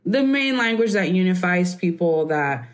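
A woman in her twenties from Barbados talks through her story in a relaxed, meandering way. Her voice sits fairly low. Her sentences wander along, padded with "like" and "um". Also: normal speed. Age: 20s. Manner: relaxed, rambling, heavy use of "like" and "um". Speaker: interviewee. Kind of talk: casual conversational interview.

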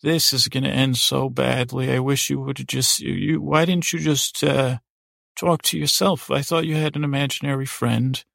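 A man's voice: mid-range voice, fairly even intonation, normal speed, creaky, a bit bright, no echo, studio quality. A man voicing a fretful, doom-saying old grandmother who nags and sighs with fussy worry on a podcast. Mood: fretful, scolding. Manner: fussy, sighing, worried nagging with many "oh dear"s.

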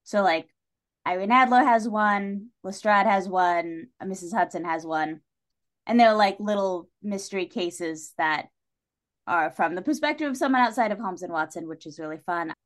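A woman's voice: mid-range voice, normal speed. A woman talking casually as a podcast guest, enthusiastic and wry, with playful asides.

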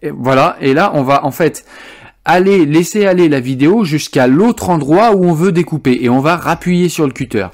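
A man presenting an informal software tutorial, explaining things step by step as he demonstrates them. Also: a little high, moderate pace.

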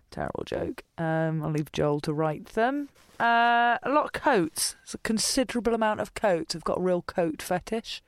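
A woman's voice: medium pitch, expressive, measured pace, no echo. A woman rambling casually in a self-deprecating, dryly joking way, a voice actor recording in a small cupboard full of coats. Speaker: voice actor in an audio fiction podcast. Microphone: pop shield, tripod-mounted recorder.

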